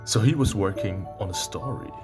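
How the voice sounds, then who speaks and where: A man playing a visual novel, reading its text aloud and breaking into excitable, playful reactions. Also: low voice, normal speed. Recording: music behind the voice. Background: game music with plucked guitar.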